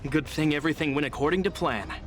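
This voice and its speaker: An actor playing a disciplined, courteous guard captain in a video game, speaking seriously and in good order. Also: male voice, on the high side, fast talker, soft background music, close to an everyday voice. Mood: earnest. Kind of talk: scripted video game dialogue.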